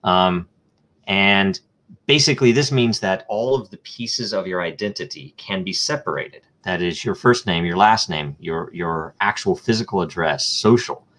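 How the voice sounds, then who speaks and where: A man's voice: sits low, moderate pace, a bit bright. A man answering questions as a guest in a live online interview, explaining step by step through examples and thinking aloud with frequent ums, uhs and restarts.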